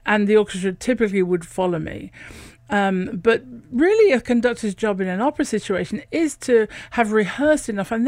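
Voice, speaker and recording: A woman's voice: mid-range voice, no echo. A middle-aged woman from England with a northern English accent, an opera singer telling stories as a radio interview guest and laughing often. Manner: chatty, laughs often, warm storytelling.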